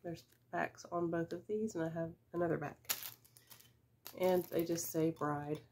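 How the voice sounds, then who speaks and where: Woman in her fifties, lowish voice, a bit stuffy from a cold; easygoing reseller chat at desk distance, faint hiss behind. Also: fairly even intonation, a little mellow. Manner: chatty, unhurried, with small laughs and fillers. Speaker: reseller vlogger. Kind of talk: casual unboxing chat. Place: small room with shelves of boxes, indoors. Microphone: camera at desk distance.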